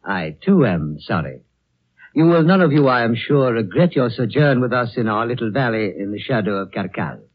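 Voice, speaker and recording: An actor in a 1930s radio drama playing a courteous, evasive lamasery host, speaking in formal, measured phrases. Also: male voice, mid-range voice, expressive, breathy edge. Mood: grave, apologetic. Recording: old muffled radio recording, music behind.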